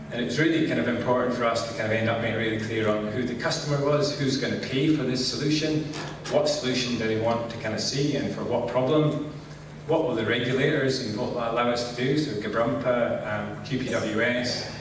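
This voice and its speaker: A middle-aged man from Scotland with a Scottish accent, giving a relaxed conference talk and saying "kind of" constantly. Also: mid-range voice, background noise. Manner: relaxed, filler-heavy, says "kind of" constantly. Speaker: conference speaker. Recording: echoey hall, distant mic.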